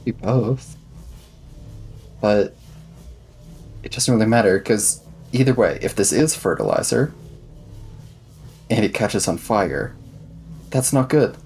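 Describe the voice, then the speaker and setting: A man's voice: lowish voice, lively intonation, slow, gravelly, long pauses, darkish tone, slight background noise. A man improvising casually in character as a player in a tabletop roleplaying game. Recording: music bed behind the voice.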